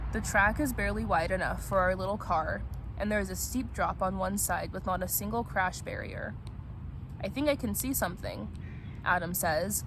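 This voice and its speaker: A woman speaking in a playful, casual way as a vlog host, chatting and reading aloud. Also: medium pitch. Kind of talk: casual vlog chat and novel read-aloud. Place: outdoors at a picnic spot. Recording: noisy outdoor recording with traffic ambience.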